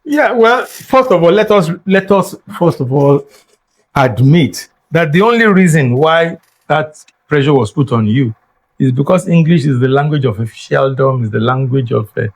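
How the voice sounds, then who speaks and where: A man's voice: a little high, expressive, moderate pace. An older man from Nigeria with a Nigerian accent and Yoruba as his first language, speaking as a guest scholar in an interview in an emphatic, lecturing way that repeats words for stress. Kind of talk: reflective interview talk.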